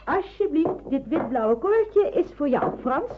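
An actress playing a lively, helpful young woman in a wartime radio drama, speaking eagerly. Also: high voice, slow pace, narrow, tinny audio, exaggerated voice. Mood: helpful, brisk. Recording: thin, noisy old radio recording.

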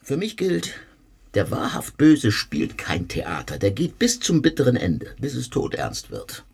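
A man's voice: mid-range voice, wildly swooping pitch, unhurried, creaky, darkish tone, slightly rough, heavily acted. An actor playing a sardonic, educated male guest who speaks with irony. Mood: musing. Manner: sardonic, ironic. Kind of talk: radio play acting.

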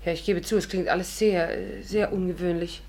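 Sharp-witted, courteous female investigator for vintage radio drama: slightly low voice, wry, questioning delivery. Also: steady tone, moderate pace. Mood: probing, thoughtful. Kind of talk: 1950s German radio play acting.

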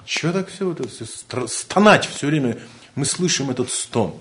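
A man's voice: medium pitch, expressive, moderate pace, fairly bright tone, a little hiss or hum. A man from Siberia with a Siberian Russian accent, giving an informal spiritual lecture in a joking, rambling way and laughing often.

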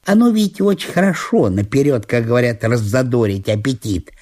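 Man playing a chatty, animated provincial judge. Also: mid-range voice, brisk, slight background noise, lightly acted. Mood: rambling, self-satisfied. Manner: rambling, savoring, digressive. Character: chatty, self-absorbed provincial male judge, fond of food. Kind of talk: theatrical radio monologue.

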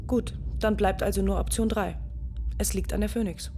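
An actress playing a tired, matter-of-fact female astronaut in a radio play, giving brisk, clipped commands. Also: medium pitch, steady tone, music in the background, natural voice.

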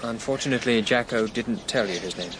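Man to play tactful but firm solicitor type, measured and formal. Radio drama with noticeable noise behind. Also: medium pitch, natural voice. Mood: careful, probing. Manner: formal, careful. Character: measured, discreet male family lawyer, tactful but firm. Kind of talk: naturalistic radio drama acting.

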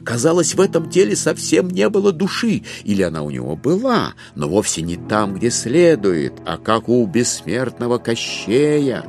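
A man narrating a dramatized classic satirical novel as a wry storyteller, with expressive, deliberate phrasing. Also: on the low side. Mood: wry, observant.

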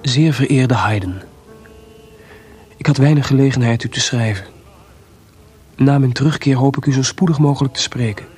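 A man's voice: medium pitch, moderate pace, long pauses, darkish tone, lightly acted. Reflective weary composer, played by a man, music behind. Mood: formal, respectful. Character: male composer writing weary, tender, bitter letters. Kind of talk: radio play monologue, letters read aloud.

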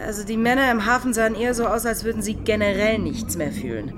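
A woman's voice: fairly high pitch, fast talker, noisy background, natural voice. An actress playing a level-headed, wary female pirate in a German radio drama, speaking firmly and earnestly. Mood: worried, urging. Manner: firm, earnest. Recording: studio radio drama with loud music.

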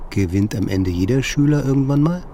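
An actor playing a weary, dreamy man slowly losing his mind, in a radio play. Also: medium pitch, lively intonation, dark tone, slight background noise, natural voice. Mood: weary, hesitant. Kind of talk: German radio play acting, interior monologue and dialogue.

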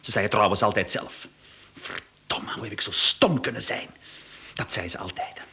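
A man's voice: lowish voice, normal speed, bright, forceful tone, whispered. An actor playing an overworked, agitated businessman and suspicious husband in a radio play. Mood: shocked, bitter.

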